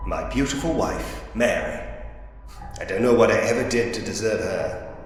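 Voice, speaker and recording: Man playing a droll gentleman sleuth, echoing hall. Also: fairly low pitch, normal speed, lightly acted. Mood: droll, musing. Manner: theatrical, droll, old-fashioned gentlemanly phrasing. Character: gentlemanly male detective in a Victorian ghost mystery. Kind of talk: point-and-click game character voice acting, musing aloud. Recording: roomy long reverb, music behind.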